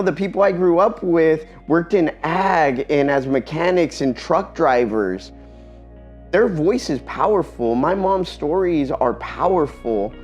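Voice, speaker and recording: A man giving a personal talk in an earnest, reflective way, pausing often between phrases. Spanish is his first language. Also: a little high, darkish tone, muffled recording. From Eastern Washington, USA.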